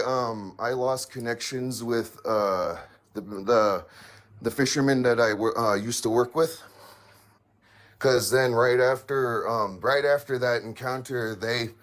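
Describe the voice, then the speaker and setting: A man calling in to tell a paranormal story, halting and full of fillers, with a raspy voice. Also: medium pitch. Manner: halting, many fillers and restarts. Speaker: caller sharing a paranormal story. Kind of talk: hesitant casual storytelling.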